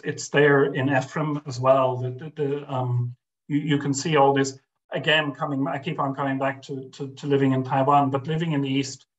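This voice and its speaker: A man's voice: mid-range voice, lo-fi sound. An older man, a guest scholar speaking over a video call from a home study, thoughtful and hesitant with many restarts and fillers. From Ireland. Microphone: webcam on video call.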